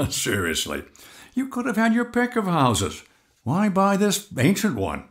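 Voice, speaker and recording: An actor playing a jovial literary agent in an audio drama, effusive with flattery and fond of chummy teasing. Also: male voice, high-pitched, measured pace, full character voice.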